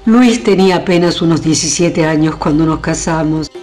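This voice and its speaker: A woman's voice: low voice, normal speed, darkish tone, natural voice. An actress playing a proud, domineering older queen in a historical radio drama, with a theatrical, scornful delivery. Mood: proud, reminiscing. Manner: theatrical, emphatic repetitions, haughty. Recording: music under the voice.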